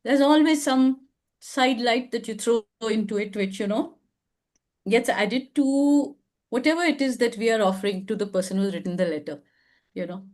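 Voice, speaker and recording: A woman hosts an advice chat over a video call. Her voice sits a little high, and she talks in a reflective, affirming way, often restarting her sentences as she thinks. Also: moderate pace, a little mellow. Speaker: advice show host.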